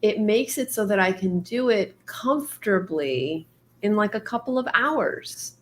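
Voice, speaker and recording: A woman hosting a writing stream, talking casually with many fillers and laughs, her voice going hoarse. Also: mid-range voice, animated, measured pace, slight background noise. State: losing her voice. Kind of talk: casual chat.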